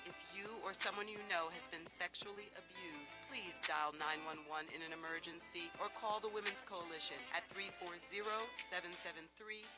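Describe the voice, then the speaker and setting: Female programme announcer, scripted and formal, lower register, music bed underneath.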